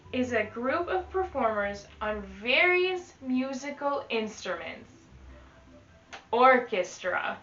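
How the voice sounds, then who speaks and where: Woman, higher-pitched, very slow children's read-aloud, expressive, enunciated, instructional, echoey room. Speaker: teaching artist reading to children. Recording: echoey room, slightly dull sound.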